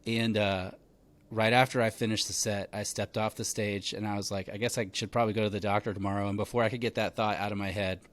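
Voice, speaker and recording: A man in his thirties hosting a podcast, telling stories in a relaxed chatty way full of "like" and "man". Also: lowish voice, fast talker. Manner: relaxed storytelling, lots of fillers like "like" and "man". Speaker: podcast host. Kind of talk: casual conversational interview.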